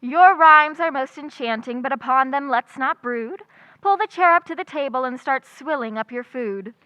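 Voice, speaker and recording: A sharp-tongued single schoolteacher, acted by a woman in an old-time radio comedy. Her voice is high and forceful, with dry wisecracks. The sound is close and slightly dull. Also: normal speed, no echo. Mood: wry, brisk. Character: wry, quick-witted single schoolteacher woman, lovelorn and sardonic. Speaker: lead actress.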